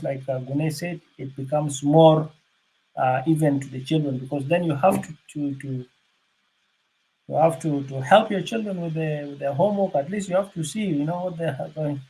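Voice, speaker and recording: An older man from South Sudan giving unscripted panel remarks, halting, with many fillers and restarts. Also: a little high, creaky, warm tone, breathy edge, rough recording.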